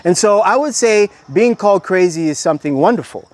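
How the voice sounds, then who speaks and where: A man from Miami with an American accent touched by Kenyan speech, an organic farmer being interviewed, talking reflectively with many pauses. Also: sits high, lively intonation, dry recording. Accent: American from Miami, with some Kenyan influence. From Miami, Florida. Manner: reflective, speaks with frequent "uh" pauses and building metaphors. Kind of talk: casual interview. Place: raised platform outdoors on a rural farm.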